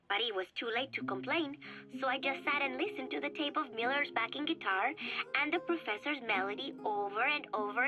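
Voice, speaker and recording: A woman playing an earnest, lovestruck teenage girl who records a scripted audio diary for a game, phrasing her thoughts carefully and reflectively. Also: sits high. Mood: thoughtful. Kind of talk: scripted first-person audio diary monologue. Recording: dull lo-fi cassette, music underneath.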